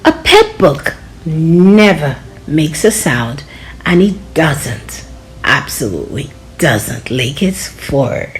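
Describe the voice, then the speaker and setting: A woman reading a children's storybook aloud in an expressive, dramatic way, drawing out words for young listeners. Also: low voice, takes it slowly, a little hiss or hum.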